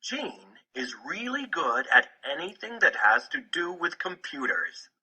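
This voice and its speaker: Male picture-book narrator, slow and deliberate, with lively pitch swings. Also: slightly rough, poor audio. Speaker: audiobook narrator.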